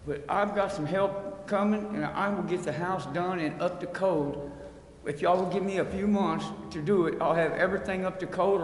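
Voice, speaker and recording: Male resident at a hearing, slightly high voice, plain-spoken and pleading, in a reverberant hall. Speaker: resident appealing at a city council hearing. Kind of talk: unscripted public plea. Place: council chamber. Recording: echoey hall, long reverb, faint noise.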